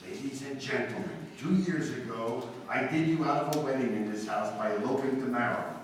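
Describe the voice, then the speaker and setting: A man's voice: medium pitch, a little fast, lightly acted. Suave, wry ex-husband played by a man in echoey hall. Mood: prompting. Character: suave, teasing, self-assured upper-class ex-husband. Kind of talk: 1940s radio drama acting. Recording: echoey hall, distant mics.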